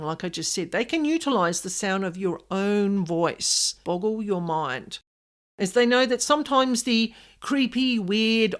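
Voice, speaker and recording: Woman with very animated, swinging pitch. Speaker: podcast host and paranormal specialist. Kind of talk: conversational podcast monologue.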